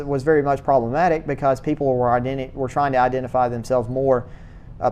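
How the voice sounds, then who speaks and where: A man giving a church history lecture to a class, speaking in a halting way, restarting words and filling pauses with uh. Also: mid-range voice, darkish tone, a little hiss or hum. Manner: halting, repeats words, many uh fillers.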